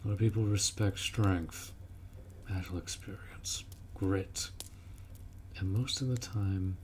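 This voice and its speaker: A man playing a gruff, dutiful orc warrior in a fantasy roleplay audio drama, blunt and protective as he speaks to the listener. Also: low-pitched, fairly even intonation, slow, slight background noise. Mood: teasing, blunt.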